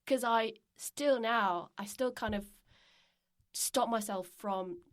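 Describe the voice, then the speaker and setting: A woman's voice: a little high, takes it slowly. A young woman from South Korea, a native Korean speaker, hosting a casual podcast chat and often searching for words. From Jeju, South Korea. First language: Korean, Jeju dialect. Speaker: podcast host. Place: professional podcast studio. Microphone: close studio microphone.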